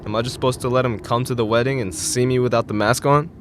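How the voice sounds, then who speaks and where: A man's voice: mid-range voice, steady tone, fast, slight background noise, lightly acted. A man acting in an audio drama as a reluctant, indignant young fiancé in his twenties. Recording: faint car ambience.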